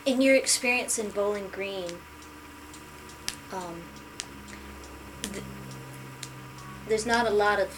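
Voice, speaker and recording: A woman interviewing a man, giving short backchannels and asking gentle questions. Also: medium pitch, slow, long pauses, background noise. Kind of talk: casual interview.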